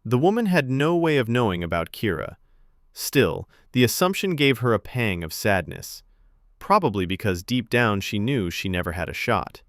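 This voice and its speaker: A man's voice: lowish voice, sing-song, moderate pace, dry recording, studio quality. A man reading scripted fiction narration as a storyteller.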